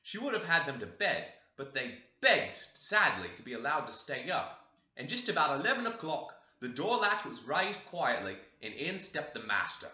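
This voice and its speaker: A man narrates an old novel in a very bright, forceful voice. His delivery is expressive and moves around in pitch. The sound is roomy and echoey. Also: moderate pace, lo-fi sound. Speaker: audiobook reader. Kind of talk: scripted narration of a classic novel.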